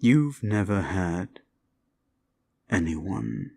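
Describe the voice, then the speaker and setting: A man playing a sinister, mocking demon in a roleplay ASMR style, slow and theatrical, with a gravelly voice and condescending, drawn-out words. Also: deep voice, big pitch swings, darkish tone, exaggerated voice. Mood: condescending, menacing. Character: sinister mocking male demon, condescending soul-hungry tempter. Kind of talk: roleplay comfort ASMR acting.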